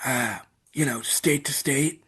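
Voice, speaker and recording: A man's voice: mid-range voice, slow pace, a bit bright, slightly rough. A man from Iowa, a guest on a podcast, who talks in a hesitant way, full of fillers and trailing off. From Iowa, United States. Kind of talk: casual chat.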